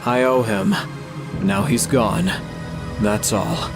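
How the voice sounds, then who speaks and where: Brooding, slow-spoken proud antihero played by a man, echoing. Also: mid-range voice, very animated, vocal fry, full character voice. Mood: brooding, bitter. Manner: brooding, deliberate. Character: Shadow, brooding, proud male ultimate life form. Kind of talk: comic dub voice acting. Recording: echoing voice under loud music.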